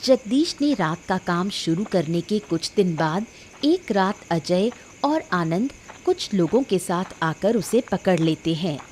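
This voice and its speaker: A woman's voice: fairly low pitch, lively intonation, a little slow, darkish tone, a little hiss or hum. A woman giving scripted narration for a cartoon moral story.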